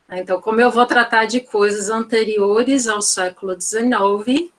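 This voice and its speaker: A woman's voice: fairly high pitch, fairly bright tone. A woman with a Northeastern Brazilian accent giving an online physics-education lecture over a video call, talking in a loose, thinking-aloud way full of "né" and "então".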